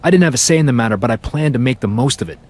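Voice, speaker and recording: An actor playing a cocky, wisecracking pro wrestler in a video game, sarcastic and self-assured. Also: male voice, mid-range voice, expressive, rapid-fire, darkish tone, natural voice. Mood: smug, sarcastic. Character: cocky, wisecracking male pro wrestler, smug crowd favourite. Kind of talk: video game cutscene acting.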